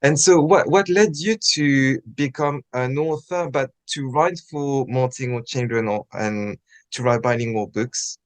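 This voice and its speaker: A man's voice: medium pitch, unhurried. A man hosting an interview, speaking in a friendly way with many fillers and restarts. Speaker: interview host. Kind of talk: casual interview chat.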